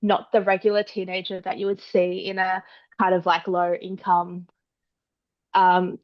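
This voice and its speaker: An Australian woman in her young adult years talks through her story in loose, conversational chat. She strings thoughts together with fillers and quick quoted asides. The audio is a little dull and lo-fi. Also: on the low side, completely flat delivery. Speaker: guest author. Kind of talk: casual interview chat.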